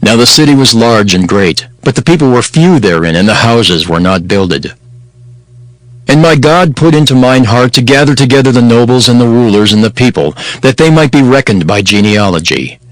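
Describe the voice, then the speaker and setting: Man as a solemn ancient governor, mid-pitched. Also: animated, moderate pace, no echo, natural voice, low-quality recording. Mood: solemn recounting. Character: steadfast male governor of ancient Judah telling his story. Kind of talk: dramatized scripture narration. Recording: music behind the voice.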